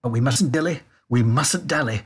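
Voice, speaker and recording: A man voicing an excitable, eccentric gentleman factory owner in a storybook reading, gushing and repeating his exclamations. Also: medium pitch, moderate pace, husky, close to an everyday voice. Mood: bustling, eager.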